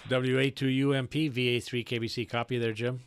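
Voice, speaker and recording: Male ham operator, mid-pitched, casual and halting, with clear background noise and clicks. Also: level tone. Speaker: amateur radio operator and live streamer. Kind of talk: casual ham radio chat.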